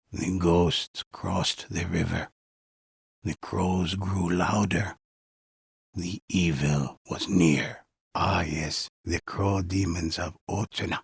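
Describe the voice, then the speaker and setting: An actor playing a solemn old male storyteller in a video game, narrating in a raspy, measured, mysterious way with deliberate pauses. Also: deep voice, dry recording, clean studio sound, full character voice. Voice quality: raspy, husky, gravelly. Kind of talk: scripted dramatic storytelling narration.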